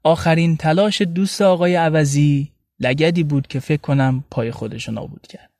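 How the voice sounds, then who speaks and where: A man's voice: on the high side, fairly even intonation, darkish tone, no echo, close to an everyday voice. A man narrating an audio story in the first person as a sardonic, feisty young woman, in wry, colloquial storytelling. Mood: defiant. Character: young Tehrani woman, sardonic, feisty, recounting her days. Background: light music bed.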